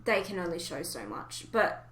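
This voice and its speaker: A woman's voice: lowish voice, big pitch swings, normal speed, a bit bright. A woman chatting casually about fan theories, speaking in an opinionated, emphatic way. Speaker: podcast co-host. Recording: roomy, echoey voice recording.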